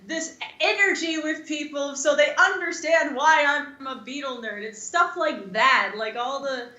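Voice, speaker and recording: In a roomy, echoing space, a young woman with a bright, forward voice talks casually as a podcast co-host. She rambles enthusiastically, in the loose style of a chat among friends. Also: sits high, a little slow, highs cut off.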